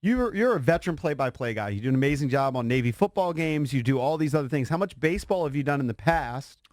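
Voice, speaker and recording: A man's voice: mid-range voice, animated, fast, a little mellow, dry recording. An American man co-hosting a sports radio show, asking questions in a casual chat.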